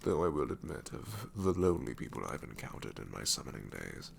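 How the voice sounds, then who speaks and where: A man voicing a sassy male shadow demon in an ASMR roleplay, snarky and rambling with soft whispered asides. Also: extremely low pitch, big pitch swings, fairly quick, vocal fry, a little hiss or hum, full character voice.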